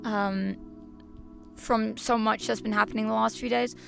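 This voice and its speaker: A woman streamer chatting casually to her viewers, her voice hoarse and raspy, rambling with stop-start restarts. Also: fairly high pitch, fairly even intonation, measured pace, faint music behind. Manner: rambling, stop-start, repeats herself, laughs often. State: hoarse, slightly lost voice. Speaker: VTuber streamer.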